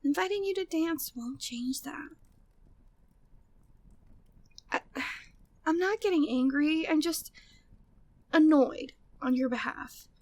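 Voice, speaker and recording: High-voiced flirty, devoted maid played by a woman. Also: a little slow, a little mellow. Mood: annoyed, protective. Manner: formal, genteel phrasing, breathy sighs, teasing. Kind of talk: intimate ASMR roleplay, scripted monologue.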